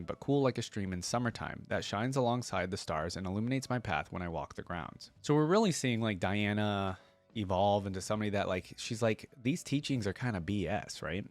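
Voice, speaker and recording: A man's voice: lowish voice, dry recording. A man hosting a reaction video, reading story text aloud and commenting casually. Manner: informal, thinking aloud.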